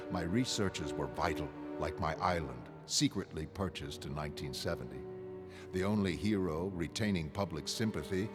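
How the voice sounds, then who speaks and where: Man as a low-voiced, calculating mastermind, music behind. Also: close to an everyday voice. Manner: measured, cold, articulate, grandiose. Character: calm, calculating male mastermind confessing his grand plan. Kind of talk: dramatic scripted monologue in animated comic. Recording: music under the voice. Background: dramatic background music.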